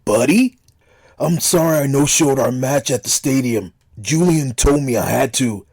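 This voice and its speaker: A man's voice: mid-range voice, a little slow, a little mellow, breathy edge, dry recording. An actor playing an apologetic, easily deflated male wrestler, talking casually and earnestly on a call.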